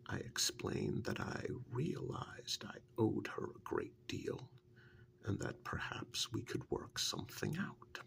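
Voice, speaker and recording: Man acting as witch's servant, ASMR role-play, low pitch, slow unhurried delivery, breathy, gravelly, hesitant, earnest. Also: huge pitch swings, a bit bright, full character voice. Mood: earnest, wary. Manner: hesitant, unhurried first-person storytelling. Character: witch's male assistant recounting his rescue, earnest, wary. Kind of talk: soft-spoken ASMR role-play storytelling.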